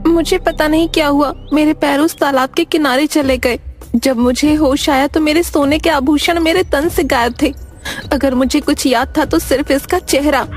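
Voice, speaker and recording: Woman putting on high-pitched aggrieved young bride. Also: fairly even intonation, moderate pace, faint music behind, close to an everyday voice. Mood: aggrieved, accusing. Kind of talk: Hindi animated moral story dialogue.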